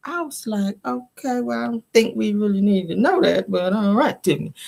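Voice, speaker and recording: A woman's voice: medium pitch, normal speed, vocal fry, darkish tone. A woman hosting a celebrity gossip show, talking to viewers in an informal, slangy, chatty way. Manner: informal, slangy, addressing viewers as guys and honey. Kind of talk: casual chatty news commentary to viewers.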